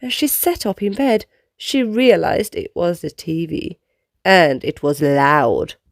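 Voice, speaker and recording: A woman reading a children's picture book aloud in an expressive storytelling style. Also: lowish voice, takes it slowly, no room sound, clean studio sound.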